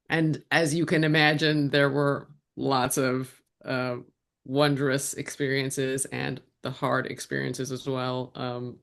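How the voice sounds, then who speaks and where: Reflective woman guest with a gravelly, fry-edged voice, talking it through unscripted. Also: rumbling low. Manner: reflective, with frequent restarts. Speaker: guest, actor and writer. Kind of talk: conversational interview answers.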